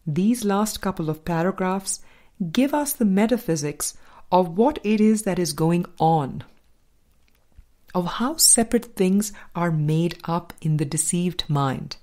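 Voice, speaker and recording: A woman reads a book aloud as narrator at a very unhurried pace. Her voice sits a little low, and her delivery is scripted and measured. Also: expressive, dark tone. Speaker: audiobook narrator.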